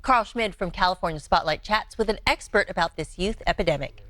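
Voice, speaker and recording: This is a female announcer delivering a scripted TV promo in a bright, inviting tone. The sound is close and dry, with no room around the voice. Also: lowish voice, fairly quick, no room sound.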